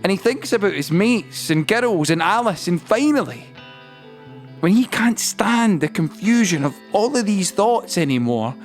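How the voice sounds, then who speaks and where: A man narrating a scripted audio play as a storyteller, with deliberate phrasing and dramatic pauses, over a musical score. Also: sits high, big pitch swings, faint music behind, exaggerated voice.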